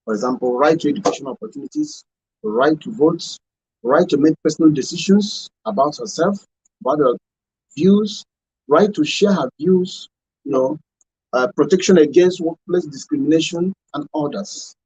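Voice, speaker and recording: A man's voice: a little high, expressive, normal speed, a little mellow, a little hiss or hum. A man with a Nigerian accent speaking as a radio panel guest, explaining earnestly and repeating points for emphasis. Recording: dull, band-limited radio sound.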